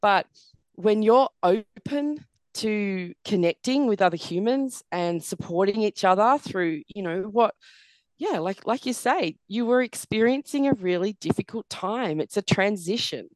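A middle-aged woman, a podcast guest, speaking earnestly with a croaky, tired voice and lots of "you know" fillers. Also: mid-range voice, lively intonation, unhurried, dry recording. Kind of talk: reflective interview conversation.

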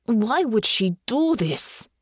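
A woman voicing a blunt but caring foreign woman, speaking deliberately and dropping small words. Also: medium pitch, big pitch swings, no room sound, studio quality, pitched above the performer's own voice. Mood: appalled. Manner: slow, deliberate, dropping articles.

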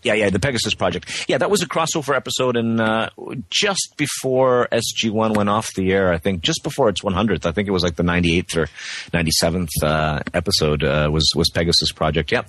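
Brief: deep-voiced man guest on a call, friendly, halting speech, dry sound. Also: fairly bright tone, no room sound. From Canada, West Coast. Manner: halting, many restarts, friendly. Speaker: actor, interview guest. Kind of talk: casual interview over the phone. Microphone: remote call.

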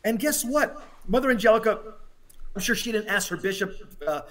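A man's voice: high voice, fairly quick, a bit bright, distant mic. A man giving impassioned talk commentary in an emphatic, preaching way, driving points home with rhetorical questions.

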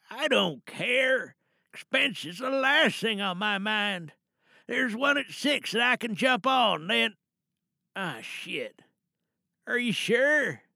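A man playing a protective, grim older father in an audio drama monologue, speaking in a halting, deliberate and coldly calm way. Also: high voice, gravelly, no room sound, clean studio sound, exaggerated voice. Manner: halting, hushed reassurance turning cold and deliberate. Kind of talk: dramatic audio monologue, one side of a phone call.

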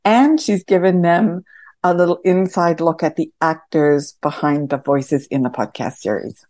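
Low-voiced thoughtful woman, dry recording. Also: darkish tone, no room sound. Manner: thoughtful, halting, searching for words. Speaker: theatre podcast series creator. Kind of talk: reflective interview talk.

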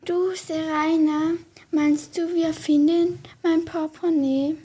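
A woman's voice: extremely high pitch, steady tone, slow pace, mellow, slight background noise. A young female performer playing an earnest, worried girl sleuth in a children's radio play. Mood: questioning.

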